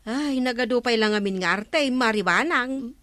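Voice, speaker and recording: A woman's voice: a little high, moderate pace, a bit bright, no echo, natural voice. An actress with a Filipino accent playing a blunt village woman who scolds in a radio comedy drama. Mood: scolding. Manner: chiding, blunt.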